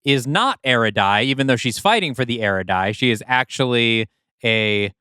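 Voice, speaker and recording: A man's voice: mid-range voice, lively intonation, moderate pace, bright, forceful tone, smooth, no room sound, clean studio sound. A man co-hosting a podcast, chatting in an easygoing, self-deprecating way with frequent laughs. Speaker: podcast co-host. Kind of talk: casual podcast chat.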